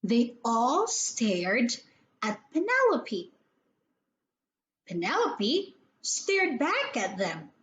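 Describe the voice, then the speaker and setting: A woman reads a storybook to young children in a high voice. Her delivery is extremely slow and very animated, with theatrical weight on each phrase. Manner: theatrical, deliberate emphasis and repetition. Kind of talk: expressive read-aloud storytelling for young children.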